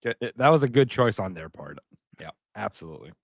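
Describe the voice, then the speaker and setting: A man's voice: lowish voice, sing-song, quick pace, gravelly, no room sound, clean studio sound. A man co-hosting a casual chat show, wry and laughing often, pushing back at jokes. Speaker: podcast co-host. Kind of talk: casual banter.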